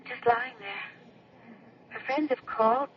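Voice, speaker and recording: An actress playing a gentle, reassuring woman who looks after a grieving widow in a radio drama. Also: on the high side, slow pace, lo-fi sound. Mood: concerned, resigned. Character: sympathetic female caregiver to a grieving widow.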